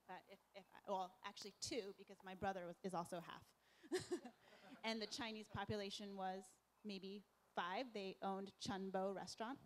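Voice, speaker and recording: A young woman speaking on a discussion panel, at an average pitch. She tells her story with frequent laughs and plenty of ums and you-knows. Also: measured pace. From Japan and New Jersey. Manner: laughs often while recounting, many fillers. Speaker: panelist filmmaker.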